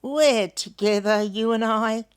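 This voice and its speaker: A woman voicing a gentle old male bilby from a storybook, tender and drawn-out, with an Australian accent. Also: a little high, smooth, voice pushed up.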